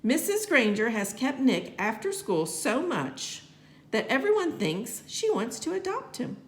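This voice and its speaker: A woman's voice: high voice, very animated, unhurried, reverberant. A woman voicing an easygoing fifth-grade schoolboy in a storybook, casual and matter-of-fact. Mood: cheerful.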